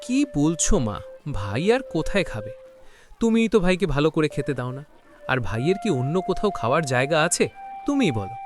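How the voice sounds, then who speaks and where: A man voicing an innocent, excitable village boy in a cartoon story, in an earnest, wide-eyed manner. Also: a little high, fairly quick, noisy background. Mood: questioning.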